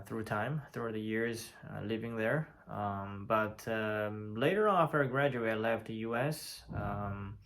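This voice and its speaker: Gravelly-voiced Chinese man, halting vlog talk. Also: on the low side. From China. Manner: hesitant, many fillers and restarts. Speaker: vlogger. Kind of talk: casual talk to camera. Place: lives in Italy.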